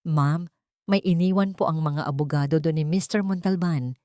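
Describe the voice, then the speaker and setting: A woman's voice: low voice, moderate pace, mellow, no room sound, clean studio sound, close to an everyday voice. A woman voicing a polite nurse in a story, formal and deferential. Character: polite nurse bearing bad news.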